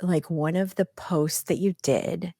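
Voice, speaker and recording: A woman's voice: fairly low pitch, steady tone, unhurried, creaky, dark tone, breathy edge, no echo, studio quality. A middle-aged woman hosting a casual podcast interview, chatty and full of fillers. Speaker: podcast host.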